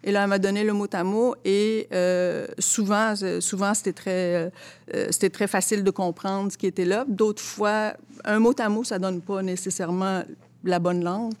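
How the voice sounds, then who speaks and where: A woman with a Quebec French accent speaks as an interview guest. Her voice sits at a middle pitch. She is reflective and expressive, circling back on words as she looks for the right phrase. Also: normal speed. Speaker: interview guest, theatre translator. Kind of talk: reflective, conversational interview answers.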